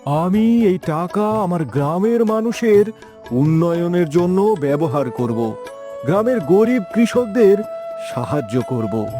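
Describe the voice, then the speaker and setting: A man's voice: high voice, animated, slow, music in the background. A man playing an honest, humble village farmer in a moral cartoon, speaking plainly and earnestly.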